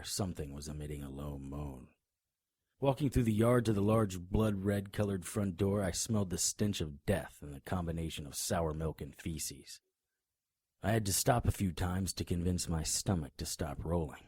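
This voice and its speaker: An actor playing a brooding, wry investigator who narrates a noir-style audio drama in a dry, hard-boiled manner. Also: male voice, sits low, a little mellow, slightly rough, no room sound. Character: brooding, wry, stubborn male supernatural investigator. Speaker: narrator and lead character.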